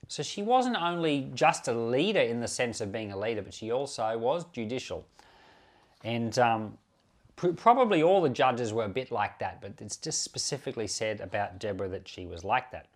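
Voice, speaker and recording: A man's voice: medium pitch, lively intonation, normal speed. Man giving informal, conversational Bible teaching. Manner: conversational, unscripted, explaining with self-corrections and asides. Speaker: pastor and Bible podcast host.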